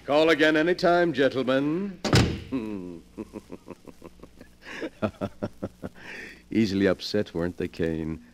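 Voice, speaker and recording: An actor playing a sly, morbid invalid gentleman who gloats with effusive politeness, in 1940s radio mystery style. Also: medium pitch, unhurried, very noisy, lightly acted. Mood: gloating. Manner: effusively polite, theatrical, relishing his words. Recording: vintage 1940s radio broadcast.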